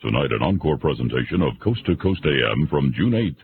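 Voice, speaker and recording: Very deep, gravelly male radio announcer. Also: very animated, brisk. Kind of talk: scripted radio station announcement. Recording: narrow-band archival radio sound.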